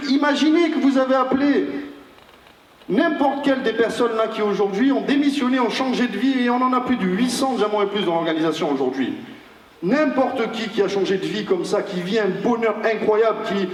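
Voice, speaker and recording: High-voiced man rousing a hall. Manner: repetitive, rousing, rhetorical questions. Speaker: network marketing trainer. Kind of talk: motivational seminar speech. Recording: echoey hall, mic far off.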